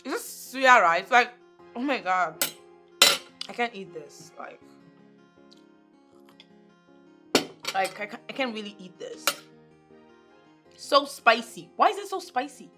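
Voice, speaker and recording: A woman's voice: on the high side, lively intonation, measured pace, long pauses, over music. A woman playing a fussy, spoiled young daughter who shows off on social media. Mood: complaining. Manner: fussy, whiny.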